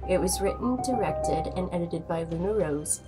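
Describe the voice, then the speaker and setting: A woman's voice: on the low side, lively intonation, moderate pace, rough recording. A woman announcer reading scripted credits. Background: loud music.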